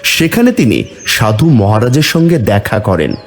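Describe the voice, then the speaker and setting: A man's voice: mid-range voice, wildly swooping pitch, moderate pace, slight background noise. A man narrating a scripted fairy-tale cartoon as the storyteller.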